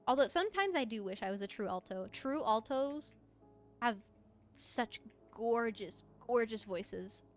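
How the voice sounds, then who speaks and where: Woman, mezzo, slightly high pitch, casual livestream chat, expressive, encouraging, effusive. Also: unhurried, soft background music. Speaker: VTuber live streamer. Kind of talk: casual livestream chat while gaming, answering viewer comments.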